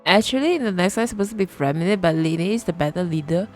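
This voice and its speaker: A woman's voice: lowish voice, expressive, quick pace, soft background music. A woman livestreamer giving casual commentary on a game, often repeating and rephrasing her thoughts.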